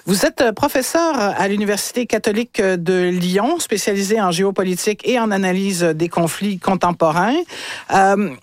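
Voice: mid-range voice, moderate pace, no echo. News host, close dry sound. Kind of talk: news presentation and interview.

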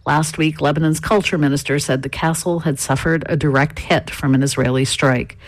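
A woman foreign correspondent filing a news report in a steady, factual delivery. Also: low voice, fairly quick, no room sound.

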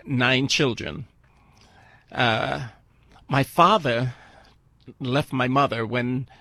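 An older man from Barbados, a radio guest telling his life story in an earnest, halting way. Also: medium pitch, fairly bright tone, dry recording. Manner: earnest, halting with fillers and restarts.

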